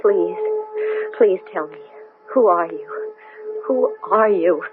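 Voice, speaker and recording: A woman's voice: fairly low pitch, animated, slow pace, over music. An actress playing a frightened, headstrong woman scientist in a 1970s radio drama, in an intense, questioning manner. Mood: bewildered. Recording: tinny, noisy old radio broadcast.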